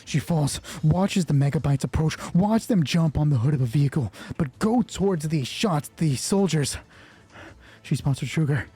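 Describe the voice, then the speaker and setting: Male solo game master, high but mellow voice, thinking aloud through dice and story, excited and sweary. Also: normal speed, slightly rough. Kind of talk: improvised tabletop game narration with dice talk.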